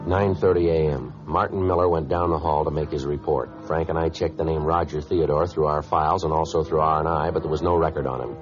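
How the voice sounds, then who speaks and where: Deadpan radio-drama detective, very low, brisk and clipped, polite but businesslike, vintage recording with music behind. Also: male voice, muffled recording. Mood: deadpan, narrating. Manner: deadpan, matter-of-fact, clipped. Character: terse, businesslike, polite male police detective. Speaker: police detective and narrator. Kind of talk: 1950s radio police drama, clipped narration.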